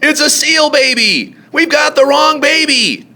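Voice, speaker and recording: A man voicing a panicked, excitable parent in a children's storybook, yelling loudly in alarm. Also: extremely high pitch, unhurried, voice pushed up, full character voice. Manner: loud yelling. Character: panicked, excitable parents yelping at animal babies.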